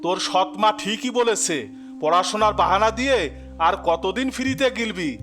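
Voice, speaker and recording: A man's voice: high-pitched, normal speed, a bit bright, slight background noise. A man playing a harsh middle-aged village father in a cartoon, berating and dismissive. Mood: angry. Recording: music under the voice.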